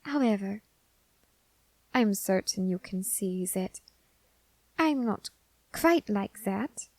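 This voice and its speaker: A woman voicing a curious, androgynous grim reaper in an ASMR roleplay who questions a captive demon in a slow, teasing, softly whispered way. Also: mid-range voice, animated, dry recording.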